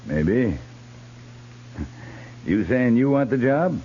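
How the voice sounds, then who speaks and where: An actor playing a fair-minded American cavalry captain, speaking in a measured, plain and firm way in a 1950s radio western. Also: male voice, mid-range voice, expressive, mellow. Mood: easy, direct. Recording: muffled 1950s radio recording with hum.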